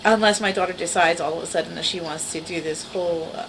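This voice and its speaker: A woman's voice: lowish voice, steady tone, quick pace, noisy background. A woman in her forties with a small, girlish voice, chatting casually and wryly to her camera at home. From the Bronx, New York. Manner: rambling, self-deprecating, wry. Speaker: vlogger. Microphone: camera mic.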